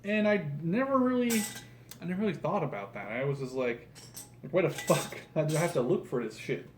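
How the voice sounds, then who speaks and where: Higher-pitched young man rambling casually. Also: animated. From Netherlands. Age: 20s. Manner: rambling, halting, self-deprecating. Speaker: YouTube host. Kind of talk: casual chat while building a model. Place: small room at home, indoors at a table. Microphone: camera across the table. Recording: room noise, clicking pieces, slightly distant mic.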